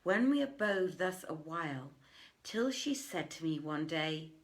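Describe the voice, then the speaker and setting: A middle-aged woman reads old tales aloud with theatrical weight. Her voice sits slightly low, and she takes her time, moving very slowly and setting phrases apart with deliberate, emphatic pauses. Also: expressive. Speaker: storyteller reading aloud. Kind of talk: theatrical live reading of old tales in archaic English. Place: indoors, living room by a fireplace.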